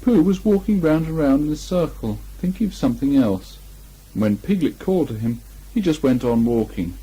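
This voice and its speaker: A man with a slightly husky voice reading a children's storybook aloud with expression. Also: a little high, lively intonation, normal speed, dark tone. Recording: muffled amateur 1980 home recording.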